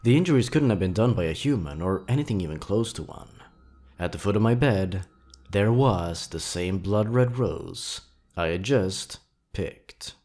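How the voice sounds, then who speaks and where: A man narrating scripted creepy stories in a dramatic, suspenseful, theatrical way with drawn-out pauses. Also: deep voice, animated, a little mellow. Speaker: horror story narrator and channel host.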